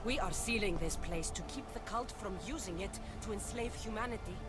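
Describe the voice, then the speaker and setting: A woman's voice: medium pitch, moderate pace, loud music behind the voice, close to an everyday voice. An actress playing a resolute, pragmatic woman adventurer who speaks firmly and earnestly in a video game cutscene. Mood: stern, pleading. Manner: firm, earnest, direct.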